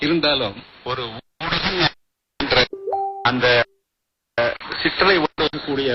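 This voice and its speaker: An older man with an Indian Tamil accent gives formal, measured interview answers in literary phrasing. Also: medium pitch. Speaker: retired radio official, interviewee. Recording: noisy muffled shortwave radio broadcast.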